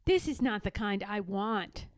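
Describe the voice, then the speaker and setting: A woman's voice: mid-range voice, expressive, a little slow, dry recording, clean studio sound, voice pushed down, lightly acted. A woman voicing an eager, earnest young badger girl in a children's storybook, plain-spoken and insistent. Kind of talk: children's storybook read-aloud.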